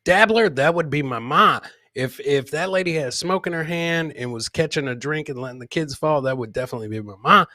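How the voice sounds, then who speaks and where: A man's voice: fairly high pitch, fairly quick, pushed and bright, dry recording, studio quality. A man hosting a live comedy show, commenting on clips casually and informally, repeating his lines like a showman. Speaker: podcast host.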